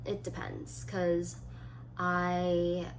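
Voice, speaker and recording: A woman of about twenty chatting casually as a solo vlogger, reading items aloud and thinking through short, halting answers. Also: on the low side, monotone, rough recording. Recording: clearly audible background noise.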